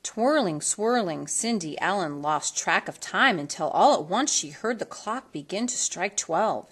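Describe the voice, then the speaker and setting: Lively woman reading a storybook aloud. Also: fairly low pitch, a little slow, slightly rough. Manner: theatrical, emphasizes colorful words. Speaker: storybook reader. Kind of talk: expressive read-aloud of a children's storybook.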